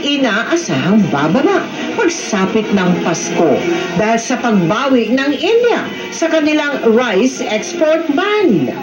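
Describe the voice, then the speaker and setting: A Filipino woman reads news headlines with dramatic emphasis and lively, swooping intonation. Her voice is slightly high, with an echoey broadcast sound and loud music underneath. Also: slow. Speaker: news anchor.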